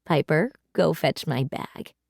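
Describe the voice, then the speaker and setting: A woman voicing an affectionate, adventurous older grandmother in a children's storybook, gentle and doting. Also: low-pitched, slow, vocal fry, no echo, studio quality, pitched below the performer's own voice, full character voice. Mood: fond.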